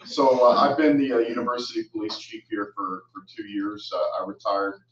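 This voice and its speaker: A man's voice: on the low side, flat delivery, normal speed, far from the mic. A man in his fifties, a university police chief, speaking in a good-humored way with some "uh" fillers. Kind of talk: casual panel talk. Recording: muffled, roomy, from off the mic.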